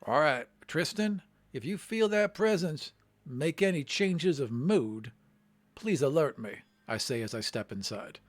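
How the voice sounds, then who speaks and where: A man's voice: a little high, expressive, unhurried. A man playing a tabletop role-playing game, speaking in character and describing his actions.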